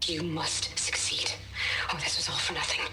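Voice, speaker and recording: An actress playing a frightened, apologetic young woman fugitive in a video game, speaking haltingly in formal words. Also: low voice, steady tone, bright, forceful tone, in a whisper. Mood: grave, resigned. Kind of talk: video game cutscene acting.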